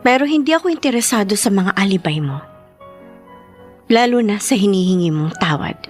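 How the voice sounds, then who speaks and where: A woman's voice: mid-range voice, lively intonation, brisk, soft background music, natural voice. A woman acting in a Tagalog radio drama as a guarded, resentful young woman. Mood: cold.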